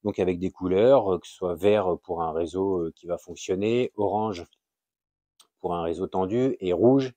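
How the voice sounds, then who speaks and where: A man giving an informal, unscripted explainer as a video host, halting and often searching for words. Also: low-pitched, dark tone, no echo. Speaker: YouTube channel host sharing a tip.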